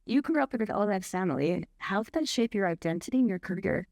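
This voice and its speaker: A woman moderating a live panel discussion, asking measured questions and introducing the speakers. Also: mid-range voice, animated, darkish tone, no room sound, clean studio sound. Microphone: handheld microphone.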